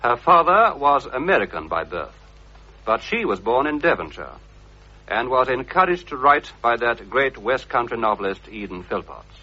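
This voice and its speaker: A man's voice: mid-range voice, very animated, moderate pace, bright, forceful tone, slightly rough. A man with a received-pronunciation British accent reading scripted documentary narration in a formal, polished manner. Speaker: radio presenter. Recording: dull, lo-fi old radio recording.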